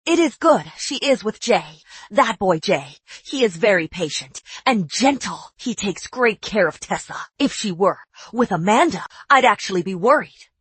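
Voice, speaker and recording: A middle-aged mother whose voice swings widely as she scolds in outrage. The sound is slightly husky, close and dry. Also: medium pitch, expressive, normal speed, fairly bright tone, no echo. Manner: emotional, indignant scolding.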